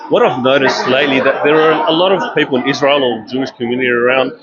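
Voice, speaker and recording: A man's voice: mid-range voice, fairly even intonation, fast. A man asking probing questions as an interviewer at an outdoor street protest. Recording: dull lo-fi with loud outdoor noise.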